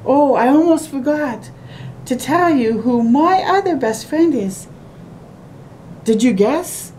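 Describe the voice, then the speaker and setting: Mellow-voiced woman reading to small children. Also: animated. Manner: slow, simple sing-song phrasing addressed to small children. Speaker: children's storytime reader. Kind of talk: read-aloud of a children's picture book with asides. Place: small room at home, by a window. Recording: clear background noise with low rumble.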